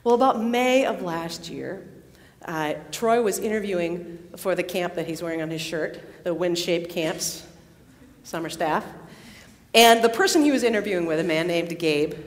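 A woman addressing a student worship gathering in a large hall, telling a story with pauses and fillers. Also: lowish voice. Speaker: campus pastor. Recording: long reverb in a large hall.

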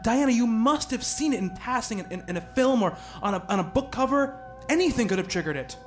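An actor playing an earnest, devoted boyfriend who narrates a horror radio play in measured, formal, literary language. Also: male voice, very high-pitched, lively intonation. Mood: concerned, reassuring. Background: music.

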